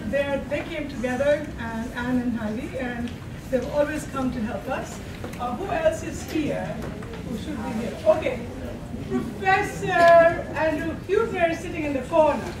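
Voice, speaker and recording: Echoey, high-pitched older woman hosting. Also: recorded from a distance. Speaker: event host, college faculty member. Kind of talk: informal event announcements to an audience. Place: college classroom at a podium. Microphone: distant camera. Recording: echoey room from afar, audience noise. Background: audience and room noise.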